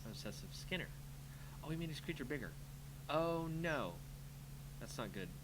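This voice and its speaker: A man's voice: a little high, lively intonation, moderate pace. A man giving live gameplay commentary, thinking aloud in a casual, reactive, muttering way as he streams a card game. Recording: clear background noise with hum.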